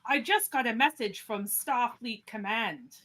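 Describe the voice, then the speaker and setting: A woman playing a formal, weary starship captain with measured speech in an amateur radio play. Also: fairly high pitch, lively intonation, sharp tone, exaggerated voice. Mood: dry dismay. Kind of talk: amateur live radio-play table read.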